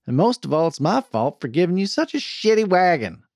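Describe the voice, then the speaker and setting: A man's voice: high voice, big pitch swings, fairly quick, a little mellow, no echo, clean studio sound, pitched above the performer's own voice. A man voicing a brash, foul-mouthed frontier woman who bosses a wagon train with a bossy drawl in a Western story. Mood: gruff encouragement. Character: brash, foul-mouthed cowgirl wagon boss, hot-tempered but soft-hearted.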